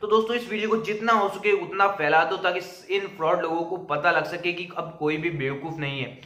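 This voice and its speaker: A man's voice: high-pitched, steady tone, a little fast, bright, forceful tone. A young man explaining something to camera in an earnest, emphatic way as a video creator. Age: young adult. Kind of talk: explanatory talk to camera, cautionary. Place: small indoor room with plain wall. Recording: roomy indoor echo.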